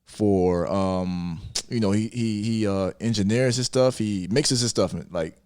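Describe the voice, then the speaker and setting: A man's voice: lowish voice, a little slow, a little mellow, smooth. A man on a podcast panel, chatting casually and insistently, often repeating himself. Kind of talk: casual hip-hop debate.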